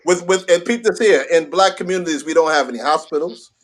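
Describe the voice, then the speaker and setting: A man's voice: on the high side, quick pace. A man chatting casually as a radio host, emphatic and repeating phrases for stress.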